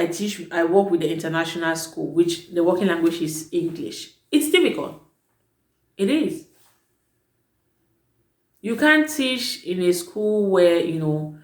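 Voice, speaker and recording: A woman who works as a teacher, giving casual advice in a vlog, chatty and emphatic, often correcting herself mid-sentence. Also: lowish voice. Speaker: vlogger advising prospective students; teacher at an international school. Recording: echoey room.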